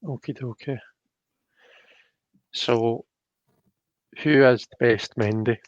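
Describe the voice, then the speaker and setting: A man's voice: mid-range voice, slow pace, dull audio. A Scottish man hosting a casual live stream, informal and thinking aloud as he talks viewers through a reading. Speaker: live stream host, tarot reader.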